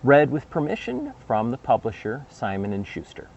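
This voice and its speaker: A man reading a children's picture book aloud expressively, with dramatic pauses and emphasis. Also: mid-range voice, huge pitch swings, creaky, darkish tone, muffled recording. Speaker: storybook reader.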